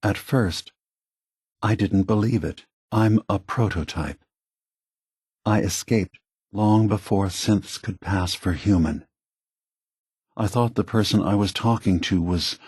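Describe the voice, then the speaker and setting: An actor playing an aging prototype android leader in a video game, a gentle, philosophical idealist with a soft, husky voice and measured, earnest phrasing. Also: male voice, low-pitched, no echo, studio quality, full character voice. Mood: reflective, hopeful. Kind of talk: acted video game dialogue.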